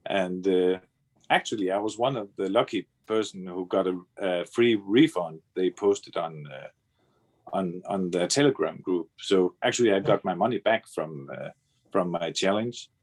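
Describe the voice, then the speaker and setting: Danish man, low voice, non-native English, hesitant guest on a call. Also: moderate pace. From Denmark. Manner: hesitant, many fillers, chuckles now and then. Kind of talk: casual video call interview. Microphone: video call.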